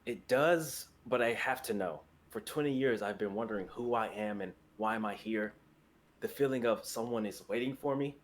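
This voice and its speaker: A man's voice: lowish voice, normal speed, a little hiss or hum, natural voice. A man playing an earnest, resolute and tender fantasy hero in a dramatic reading. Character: earnest heroic young man, resolute, tender, self-sacrificing.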